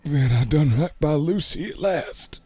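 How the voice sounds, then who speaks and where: An actor playing a rough, brave, wounded cowboy vampire hunter in a radio play, husky and failing. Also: male voice, on the high side, animated, a little slow, warm tone, dry recording. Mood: pained, resolute. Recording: music behind the voice.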